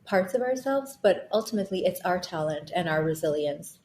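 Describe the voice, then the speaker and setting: A woman's voice: fairly low pitch, animated, fairly quick, a little mellow, slight background noise. A woman in her thirties from Bangladesh, raised in Thailand, speaking earnestly and reflectively as a guest in a conversation. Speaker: guest author. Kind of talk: casual conversation.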